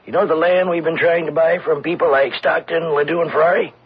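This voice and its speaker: An actor playing an anxious, deferential business subordinate, hesitant and confiding, in an old-time radio drama. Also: male voice, on the high side, steady tone, fast talker, natural voice. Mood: worried. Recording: muffled, noisy old radio broadcast.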